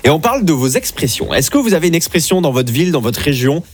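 Fast-talking upbeat male radio host. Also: a little high. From southwest France (Landes). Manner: energetic, fast, playful banter with callers. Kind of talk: upbeat evening radio show hosting. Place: radio studio.

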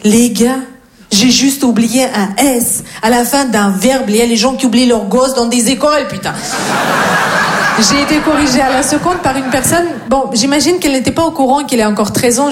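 A young woman from Russia with a Russian accent, doing a stand-up set and teasing the audience deadpan. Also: fairly high pitch, normal speed. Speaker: stand-up comedian. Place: comedy club stage. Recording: echoey club with crowd laughter. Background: audience laughter.

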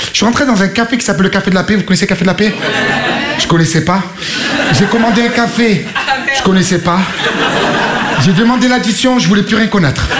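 A young man from Marseille with a Marseille accent doing a stand-up set, telling stories with punchy, animated mock outrage. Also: high voice. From Marseille, France. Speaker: stand-up comedian. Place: comedy club stage. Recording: echoey club with loud crowd. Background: audience laughter.

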